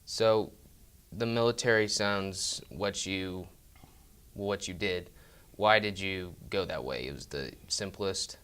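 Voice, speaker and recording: Smooth-voiced teenage interviewer. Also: male voice, low voice, slow, fairly bright tone. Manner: friendly, scripted questions. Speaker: student interviewer. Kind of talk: student interview.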